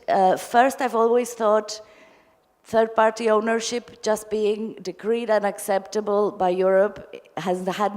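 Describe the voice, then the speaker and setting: A woman's voice: mid-range voice, a little mellow. A woman from Argentina speaks from the audience at a conference, putting an articulate, discursive question to a panel. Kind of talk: spontaneous question and comment at a conference. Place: large indoor conference hall. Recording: echoey hall, long reverb.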